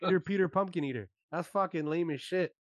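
A man's voice: sits high, fast, no echo. A man joking crudely and casually with friends as a podcast co-host, swearing heavily.

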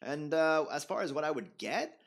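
A man's voice: a little high, sing-song, normal speed. A man from Canada whose first language is French, chatting casually and joking on a podcast with lots of fillers. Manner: joking, rambling with many fillers. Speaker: podcast co-host.

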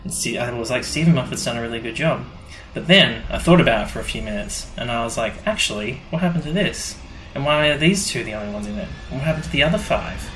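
A man's voice: medium pitch, expressive, quick pace, a bit bright, soft background music. Man podcasting from an echoey room. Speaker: podcast co-host. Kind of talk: casual chat.

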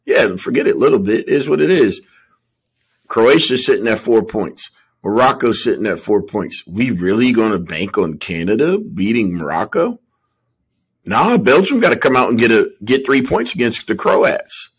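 A man's voice: on the low side, sing-song, moderate pace, husky. A man co-hosting a sports podcast, giving emphatic, slangy opinions in casual football chat.

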